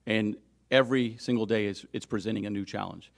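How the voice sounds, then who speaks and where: A man speaking as a union leader at a press conference, emphatic but halting as he makes his points. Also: on the low side, steady tone, creaky.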